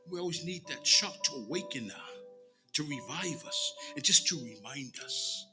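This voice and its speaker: A middle-aged man with a Samoan accent preaching an emphatic sermon at a church pulpit, swinging between hushed phrases and loud declamation. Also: medium pitch. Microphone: microphone on a boom stand at the pulpit.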